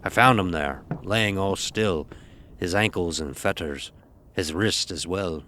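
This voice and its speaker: A man playing a stern, gruff ship's officer who tells a sea tale in commanding, archaic nautical speech for an audio horror drama. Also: low-pitched, measured pace, bright, forceful tone, slight background noise. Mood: measured recounting. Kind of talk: first-person dramatic storytelling, audio horror.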